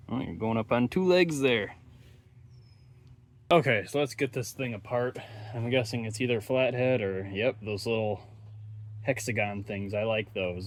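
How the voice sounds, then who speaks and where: A man giving casual, unscripted commentary on hands-on repair work, thinking aloud in an offhand, dryly joking way. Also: on the low side. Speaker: host of radio repair videos. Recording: steady background noise, ambient sound.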